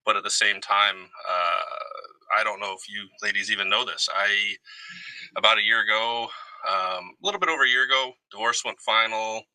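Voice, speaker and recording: Very bright, forceful man talking candidly. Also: fairly low pitch, normal speed, no echo, rough recording. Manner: reflective, self-revealing. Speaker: guest, retired army veteran. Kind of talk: candid casual personal talk.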